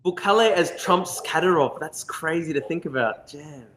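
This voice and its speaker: A man from Australia with a fairly high voice drops short reactive comments into the talk. A faint background noise runs under him. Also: moderate pace. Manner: brief reactive interjections. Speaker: podcast co-host.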